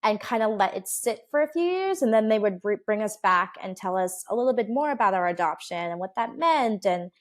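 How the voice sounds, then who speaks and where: A woman's voice: medium pitch, lively intonation, fairly quick, few pauses. A woman in her twenties, raised in the United States, chatting as an interview guest in a bubbly way with frequent laughs and fillers. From United States (Oregon). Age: 20s. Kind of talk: casual interview chat.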